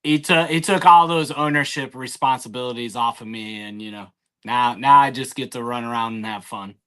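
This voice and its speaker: A man answering as a guest in casual chat, hesitant and pausing often. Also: medium pitch, a bit bright, clean tone. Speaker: interview guest.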